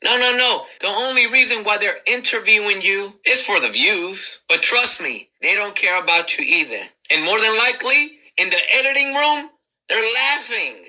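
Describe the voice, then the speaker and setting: A woman's voice: mid-range voice, lively intonation, moderate pace, bright, forceful tone, low-quality recording. A woman giving a mocking commentary to camera, laughing and jeering as she talks. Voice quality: mocking, laughs while talking. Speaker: video commentator. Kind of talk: mocking monologue.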